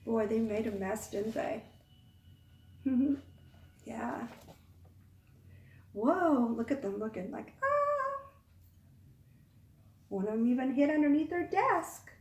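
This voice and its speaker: Lady reading to little kids, expressive, asks them stuff, echoey room and kinda far from the mic. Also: on the high side, slow pace, long pauses. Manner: expressive, asks the listeners questions. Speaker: children's storytime reader. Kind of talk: read-aloud picture book storytelling for young children, with asides.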